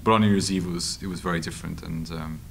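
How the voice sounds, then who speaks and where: Male interviewee, Irish, low creaky voice, conversational storyteller, light room noise. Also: fast. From Ireland. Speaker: journalist and author being interviewed. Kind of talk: conversational interview answers at a public discussion. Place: meeting room at a table. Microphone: table microphone. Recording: steady background room noise.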